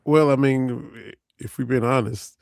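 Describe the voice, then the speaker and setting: Hoarse male podcast host with a gravelly, croaky edge, loose rambling delivery, dry close mic. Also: medium pitch, fairly even intonation, a little slow, no echo. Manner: loose, rambling, repeats himself. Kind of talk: casual sports chat.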